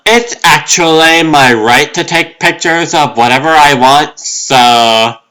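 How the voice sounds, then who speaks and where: A smug, insolent young guy, put on by a man for a comic dub. His voice is loud, pushed high and sharp. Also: slow pace, poor audio. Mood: smug, defensive.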